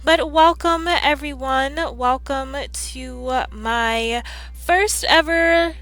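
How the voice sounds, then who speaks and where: A young woman chatting casually on a live stream, upbeat and rambling, with a slightly hoarse, scratchy throat. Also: sits high, drawn-out words, a bit bright.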